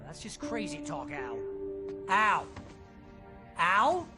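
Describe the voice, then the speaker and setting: A man's voice: high voice, big pitch swings, slow pace, loud music behind the voice, exaggerated voice. A man playing a brash, fretful literary agent and loyal best friend in a video game, blustering and wisecracking. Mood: anxious, sincere.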